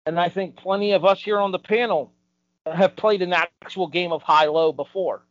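Male podcast panelist, slightly high voice, emphatic arguments, dry and dull lo-fi sound. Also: steady tone, normal speed, fairly bright tone, no echo. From United States. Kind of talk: opinionated panel discussion.

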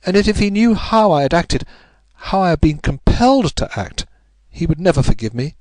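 A man's voice: on the high side, big pitch swings, darkish tone, no echo, pitched above the performer's own voice. A man voicing a distraught, pleading upper-class married Englishwoman in a story, breathless and melodramatic. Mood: desperate. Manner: breathless, melodramatic, halting repetitions.

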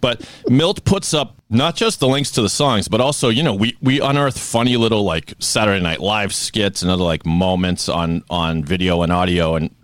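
A man co-hosting a podcast, teasing his partner with quick wisecracks in casual banter. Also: fairly low pitch, dry recording. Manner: wisecracking, teasing, lively delivery. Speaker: podcast co-host.

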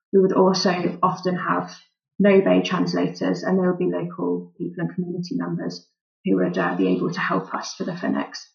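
A woman with a lowish voice and a gravelly creak, talking through her medical work with lots of pauses and "um"s. The audio is a bit dull and lo-fi. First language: English. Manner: thoughtful, many "um" fillers. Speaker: volunteer doctor, guest. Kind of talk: relaxed interview answers.

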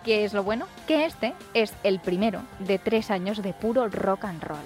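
A woman's voice: medium pitch, moderate pace. A woman with an accent from Spain, presenting scripted sports storytelling. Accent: Spanish, from Spain. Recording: loud music bed. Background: loud music.

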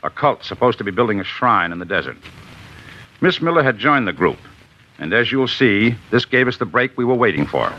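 An actor playing a big-city district attorney, narrating a case in a steady, matter-of-fact 1950s radio drama style, on a dull old broadcast recording. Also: male voice, on the low side, a little fast. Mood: grave.

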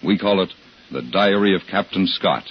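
A man's voice: deep voice, expressive, moderate pace, husky. A man announcing formally from a script in the style of 1950s radio drama credits. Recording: muffled old broadcast under loud music.

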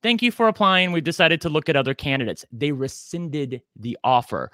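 A man's voice: a little high, lively intonation, brisk, pushed and bright, no echo, studio quality. Chatty, campy man in his forties. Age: 40s. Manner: chatty, campy, self-deprecating storyteller. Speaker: podcast co-host. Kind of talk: casual chat.

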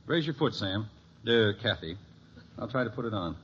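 A man acting a middle-aged family father from a 1950s radio comedy. His delivery swings expressively while he teases with dry, affectionate exasperation. The old broadcast recording is dull and clearly noisy. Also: fairly low pitch, lively intonation, moderate pace, lightly acted. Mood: patient exasperation. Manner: dry, teasing wit.

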